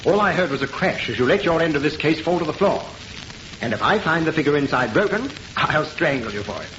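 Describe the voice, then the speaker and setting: Haughty gentleman, theatrical swooping high voice. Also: lively intonation, a little fast. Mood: scoffing, irritated. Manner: imperious, theatrical, sardonic. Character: haughty scoffing gentleman, dismissive and mocking. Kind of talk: old-time radio horror acting. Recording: noisy muffled old radio recording, roomy echo.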